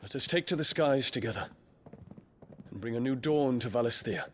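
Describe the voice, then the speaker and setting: A man playing a proud, dutiful young prince in a video game, speaking formally and gravely. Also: mid-range voice, a bit bright, slight background noise, natural voice. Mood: resolute. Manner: formal, grave, measured diction. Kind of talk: dramatic video game cutscene acting.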